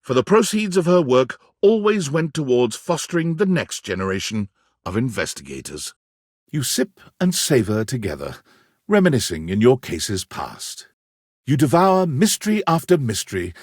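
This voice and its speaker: A man's voice: medium pitch, big pitch swings, normal speed, darkish tone, no echo, clean studio sound. A man reading second-person game narration in a wry, storybook manner. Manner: wry, storybook-style delivery.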